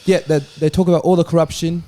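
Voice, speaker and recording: A man's voice: fairly high pitch, level tone, quick pace, warm tone, no room sound. A man on a football panel chatting casually, insistent and opinionated. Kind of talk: casual chat.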